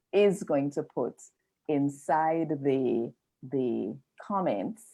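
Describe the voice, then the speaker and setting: A woman hosting a live video-call interview, laughing freely and talking at an unhurried pace. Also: sits low, dark tone, rough recording. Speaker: interviewer and host.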